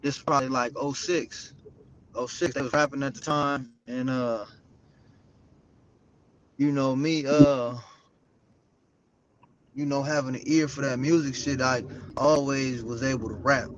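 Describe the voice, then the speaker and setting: A man's voice: mid-range voice, steady tone, unhurried, long pauses. A man from South Central Los Angeles, a rapper talking as a guest in a casual interview, laid-back and rambling with lots of slang. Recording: dull lo-fi audio with faint noise.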